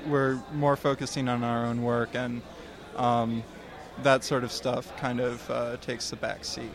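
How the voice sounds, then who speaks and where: A man's voice: medium pitch, flat delivery, a faint crowd. Hesitant young man answering questions. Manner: hesitant, halting, many ums. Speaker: guest, comics student. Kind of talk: casual interview.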